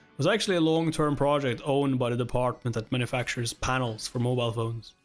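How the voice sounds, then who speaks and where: A man's voice: mid-range voice, lightly acted. A man voicing an apologetic, hesitant corporate subordinate in a webtoon read-along, stumbling deferentially over his words.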